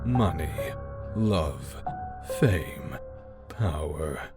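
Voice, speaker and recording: A male actor plays a sinister wish-granter in an audio horror drama. His deep male voice is sly and theatrical, toying with his victim like a bored gambler. Loud music runs underneath. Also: expressive, drawn-out words, gravelly, exaggerated voice. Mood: bored, menacing. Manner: smug, taunting, theatrical.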